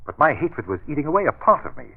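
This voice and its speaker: An actor playing a brooding, vengeful scientist in old-time radio drama style, theatrical and deliberate, with sinister politeness. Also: male voice, on the high side, very animated, slightly rough, full character voice. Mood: bitter, intense. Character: brooding, vengeful male scientist, bitter, coldly menacing jilted rival. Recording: tinny, band-limited vintage radio recording.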